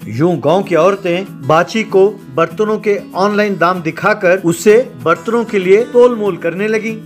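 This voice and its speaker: A man giving scripted narration of a comic animated story. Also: high voice, measured pace, faint music behind.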